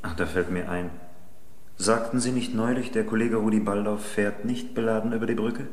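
An actor playing a probing, businesslike police lieutenant in a German radio crime drama. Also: male voice, lowish voice, fairly even intonation, moderate pace, roomy sound, close to an everyday voice.

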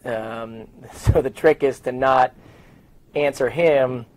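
A man's voice: medium pitch, slow pace, a little mellow, rough recording. A middle-aged man with an American accent, telling stories and explaining his work conversationally in an interview as an announcer. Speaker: sports public address announcer being interviewed. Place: open indoor lobby studio at a desk.

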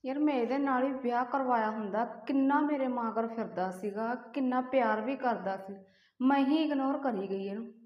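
Actress as resigned young married woman, short-film drama: very high voice, conversational delivery, dull lo-fi sound. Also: moderate pace, natural voice. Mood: flustered, regretful. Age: young adult. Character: wistful young married woman meeting her old college admirer. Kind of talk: amateur short-film dialogue. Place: small hard-walled room indoors, camera at some distance. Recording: echoey room, dull lo-fi audio.

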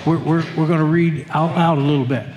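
Older male pastor, higher voice, earnest repetitive sermon delivery, long church-hall reverb. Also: steady tone, brisk, a little mellow. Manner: earnest, repeats words and phrases, leads congregation reading. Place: church sanctuary.

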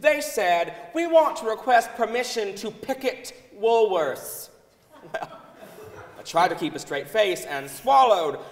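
An amused woman college warden played by a young man recalls her days in office. The voice is high and theatrical, carrying through an echoing hall from a stage. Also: lively intonation, unhurried. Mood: amused, animated. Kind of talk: dramatic reading on stage. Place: large indoor concert hall stage. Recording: reverberant concert hall stage recording.

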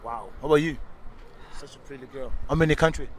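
Fast, teasing street interviewer man. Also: mid-range voice, soft background music. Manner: teasing, playful, repeats the question quickly. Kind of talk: street vox pop, quizzing passers-by. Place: busy city shopping street outdoors. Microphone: handheld mic held out to passers-by.

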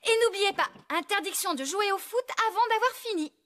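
An actress voicing a cheerful, kindly but bossy young woman farmer in a French children's cartoon, brisk and expressive. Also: very high voice. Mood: firm, cheerful.

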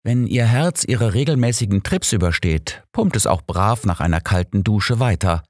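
A man's voice: fairly low pitch, animated, normal speed, dry recording, pitched above the performer's own voice. Man playing sarcastic, exasperated older doctor. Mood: wry. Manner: dry sarcasm, grumbling.

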